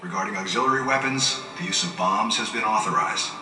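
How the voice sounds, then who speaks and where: An actor playing a stern, authoritative military commanding officer giving formal, measured orders in a video game. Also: male voice, lowish voice, far from the mic, lightly acted. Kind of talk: scripted video game cutscene briefing. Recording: echoey game audio with loud music.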